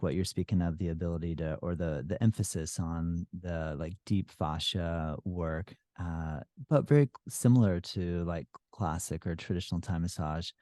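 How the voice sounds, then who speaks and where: A man hosting a podcast, his voice very low and mellow. The sound is dry and close, and he strings his questions together loosely while warmly affirming the guest. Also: no room sound. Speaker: podcast host. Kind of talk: casual interview.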